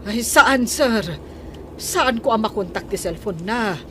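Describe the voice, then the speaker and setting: A woman's voice: fairly high pitch, lively intonation, slow, sharp tone, heavily acted. A woman playing a dutiful, worried nanny in a radio drama, deferential, with an Ilocano accent. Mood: respectful, anxious. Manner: deferential, anxious. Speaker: household maid. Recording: noisy radio drama recording.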